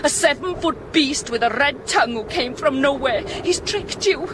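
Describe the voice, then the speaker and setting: A woman's voice: high voice, expressive, normal speed, noisy background. An actress playing a fearful, superstitious village woman, urgent and insistent, in a radio horror drama. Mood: fearful, dread.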